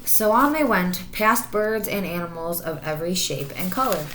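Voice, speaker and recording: A woman's voice: fairly low pitch, lively intonation, normal speed, background noise. Woman reading a storybook in an echoey room. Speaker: teacher reading aloud. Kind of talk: expressive children's storybook narration.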